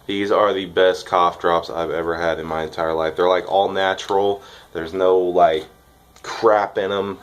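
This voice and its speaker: A young man, congested from a cold, chatting casually and cheerfully in a rambling vlog with lots of fillers. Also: sits low. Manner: rambling, upbeat, filler-heavy. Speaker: vlogger reviewing dip tobacco.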